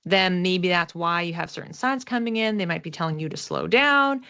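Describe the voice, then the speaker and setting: A woman's voice: fairly low pitch, lively intonation, normal speed, creaky, fairly bright tone, smooth, lo-fi sound. A woman talking conversationally and reflectively as a psychic reader, explaining things casually to her listeners and stressing key words. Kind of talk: casual explanatory card reading to camera.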